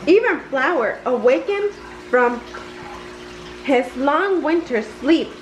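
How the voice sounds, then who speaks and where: A woman reading a children's storybook aloud in an expressive storytelling manner. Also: sits high, slow, slight background noise, poor audio. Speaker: story reader. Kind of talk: bedtime storybook read-aloud.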